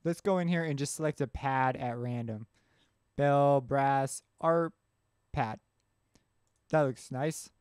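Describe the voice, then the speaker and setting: A man narrating a casual software tutorial, talking informally as he thinks aloud. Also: mid-range voice, slow, long pauses, clean tone. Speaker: tutorial host. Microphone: close mic screencast voice-over.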